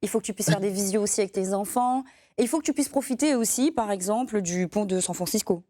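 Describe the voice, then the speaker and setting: Lively woman host asking questions. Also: mid-range voice, quick pace. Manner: lively, laughing, prompting questions. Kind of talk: radio-TV interview hosting.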